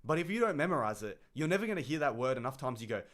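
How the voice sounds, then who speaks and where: A man's voice: medium pitch, sing-song, very fast, fairly bright tone. A man chatting casually on a live stream, blunt and laid-back, swearing freely. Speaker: co-host of a language-learning stream. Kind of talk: casual livestream chat. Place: room in Tokyo, live stream.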